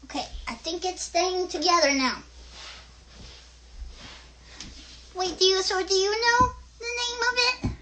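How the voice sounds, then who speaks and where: A woman voicing an excitable, easily distracted little girl puppet who hosts a children's show, chattering haltingly and interrupting herself. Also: very high voice, a little hiss or hum. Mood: chatty, distracted. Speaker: puppet host.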